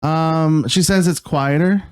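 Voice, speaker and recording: A man from Canada, co-hosting a podcast in a halting casual chat, often laughing. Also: fairly high pitch, smooth, no echo. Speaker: podcast co-host.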